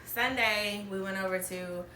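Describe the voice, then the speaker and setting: A woman's voice: medium pitch, fairly even intonation, measured pace, sharp tone, slight background noise. A woman, telling personal stories as a podcast host, chatty and laughing often. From Alabama, US. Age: 30s. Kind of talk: candid storytelling chat. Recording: roomy, distant mic.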